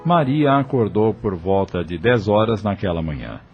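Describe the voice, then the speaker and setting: A man's voice: on the low side, expressive, normal speed, lo-fi sound, faint music behind. A man with a Brazilian accent narrating an audio novel in a scripted storytelling style.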